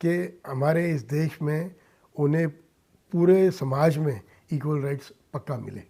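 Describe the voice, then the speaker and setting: A man giving earnest interview answers in emphatic, halting short phrases, as a guest in a radio studio. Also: a little high, dark tone. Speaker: interview guest, industrialist and philanthropist. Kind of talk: earnest interview answers mixing Hindi and English.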